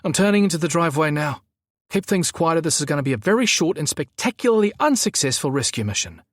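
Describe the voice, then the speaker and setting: A flamboyant younger man as a male narrator plays him, lifted in pitch and animated. He is a cheeky, anxious schemer in an audiobook, close and dry. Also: fairly quick, dry recording. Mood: urgent, protesting. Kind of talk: audiobook character dialogue.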